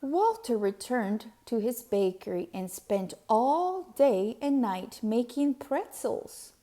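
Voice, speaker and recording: A woman reading a children's storybook aloud with expressive, deliberate emphasis on key words. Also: a little high. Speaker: storybook reader.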